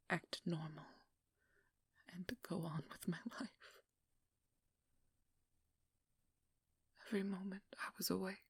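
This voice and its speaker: A woman performs a haunted vampire hunter, a determined woman baring her tragic past in an intimate ASMR role-play. Her whisper is very breathy and husky, close and dry. She speaks very slowly, in pained, broken phrases. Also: fairly low pitch, no room sound, exaggerated voice. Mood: bitter, haunted resolve. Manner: slow, halting, pained confession in short broken phrases.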